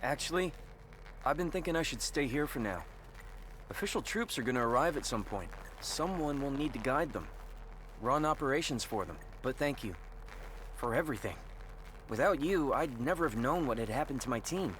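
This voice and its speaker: An actor playing a friendly, world-weary survivor in a video game, talking in an informal, wry way. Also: male voice, mid-range voice, animated, moderate pace, a bit bright, a little hiss or hum, close to an everyday voice, rough recording. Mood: earnest, grateful. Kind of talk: conversational game voice acting.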